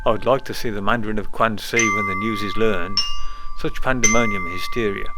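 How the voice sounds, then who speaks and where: A man's voice: lowish voice, steady tone, brisk, very noisy, natural voice. A man voicing an anxious, dramatic old Mandarin father in a story reading, declaiming and lamenting. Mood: gleeful, smug.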